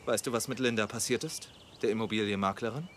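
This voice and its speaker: A man's voice: on the low side, fast talker, fairly bright tone, slight background noise, natural voice. A man playing a blunt, sceptical young investigator in a German TV drama dub, dry and probing, in standard German. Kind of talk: dubbed TV drama dialogue.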